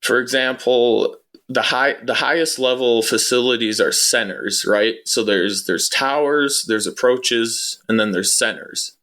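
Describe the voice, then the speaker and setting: A man's voice: medium pitch, unhurried, bright, forceful tone. A man answering interview questions as a guest in a casual, matter-of-fact, conversational way. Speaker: interview guest, former air traffic controller.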